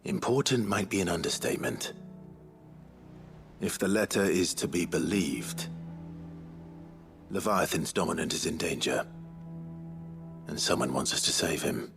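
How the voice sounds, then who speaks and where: An actor playing a weary, resolute young outlaw leader in a dramatic video game, speaking in a measured, grave, understated way. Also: male voice, deep voice, expressive, vocal fry, fairly bright tone, soft background music, full character voice.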